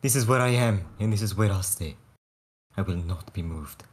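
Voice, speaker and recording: A man voicing a stubborn, drunken, vengeful swordsman in a husky voice at a script table read. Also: fairly low pitch, normal speed. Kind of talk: live table read of a screenplay over video call. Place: home rooms, online video call.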